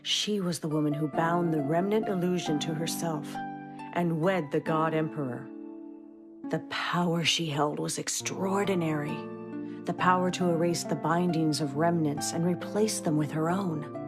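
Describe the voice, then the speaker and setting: Casting: solemn, devoted mother, fairly low voice, measured and heartfelt, game cutscene with clear music. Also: fairly even intonation. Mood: solemn confession. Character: solemn, devoted mother burdened by secret power. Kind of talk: dramatic video game acting. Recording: music behind the voice.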